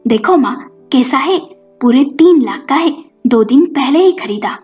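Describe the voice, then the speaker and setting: Woman voicing an evasive, high-voiced married daughter. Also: lively intonation, unhurried, a little mellow. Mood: showing off. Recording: muffled recording with music behind.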